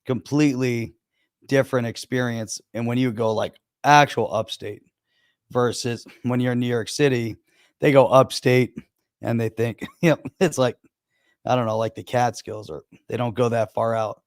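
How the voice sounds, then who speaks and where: A man's voice: mid-range voice, fairly even intonation, moderate pace, no room sound, studio quality. A man hosting a casual live stream, chatting and opinionated as he thinks aloud. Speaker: crypto show host. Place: home in Florida.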